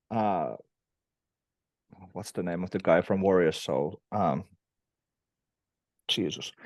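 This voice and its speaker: A man with a fairly low voice talks as a guest in a relaxed interview, wandering through his stories and pausing with fillers whenever a name escapes him. The sound is dry and close, with almost no room around it. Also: dry recording. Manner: rambling, searches for names with many fillers. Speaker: musician guest. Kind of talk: casual interview chat.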